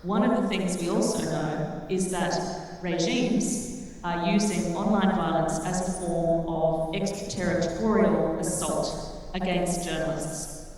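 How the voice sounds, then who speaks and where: A woman on a panel answering an audience question in a measured, informative way with occasional ums. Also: on the low side, a little mellow. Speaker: panelist and researcher. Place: large church hall. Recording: echoey hall, distant mic, faint noise.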